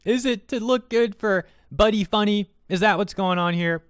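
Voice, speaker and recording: A man's voice: high voice, moderate pace, smooth, dry recording. Sarcastic man doing online commentary, dry close sound. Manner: sarcastic, mocking, rhetorical questioning. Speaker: YouTube commentary host. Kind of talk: opinionated online commentary, reading out tweets and reacting.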